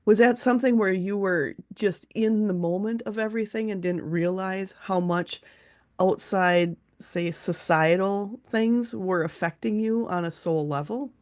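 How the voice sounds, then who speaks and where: A woman's voice: mid-range voice, unhurried, a little mellow. A woman hosting an interview, speaking earnestly and at length as she relays messages from her spirit guides. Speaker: host, teacher and medium. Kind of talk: casual interview chat.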